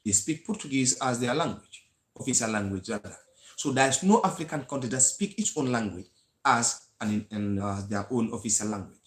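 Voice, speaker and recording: A man from the Gambia with a Gambian English accent, hosting a live history talk in an earnest lecturing way, with frequent pauses and restarts. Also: medium pitch. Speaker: host of a history channel. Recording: echoey room sound.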